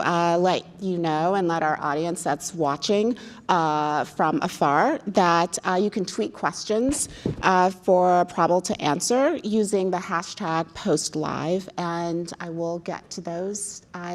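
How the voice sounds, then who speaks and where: A middle-aged woman hosting a live interview, asking measured questions with many "uh" fillers. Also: on the low side. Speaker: interviewer, fashion critic.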